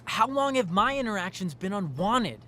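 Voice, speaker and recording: Intense teenage boy with wildly swinging pitch. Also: very animated, normal speed, pushed and bright, a little hiss or hum, exaggerated voice. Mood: accusing. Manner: insistent, earnest, intense. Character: possessive, obsessive, self-righteous teenage boy. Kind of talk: dramatic video game voice acting.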